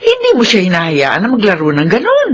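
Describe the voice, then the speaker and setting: A woman's voice: medium pitch, wildly swooping pitch, brisk, a little hiss or hum. A woman playing an older, nagging, disapproving grandmother in a radio drama. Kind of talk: Filipino radio drama acting.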